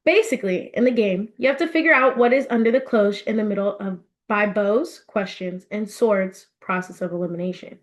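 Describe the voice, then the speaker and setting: A woman reading her own fiction aloud as an expressive storybook narration. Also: medium pitch, a little fast, hardly any pauses.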